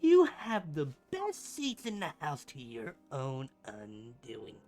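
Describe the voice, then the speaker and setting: A man's voice: fairly high pitch, huge pitch swings, slow pace, a little mellow, soft background music, exaggerated voice. A man playing a pompous, melodramatic supervillain in a scripted ASMR roleplay, a bumbling rival who slips between grand speeches and chatty asides. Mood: gloating, grandiose. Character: theatrical, bumbling supervillain, gloating yet secretly caring rival.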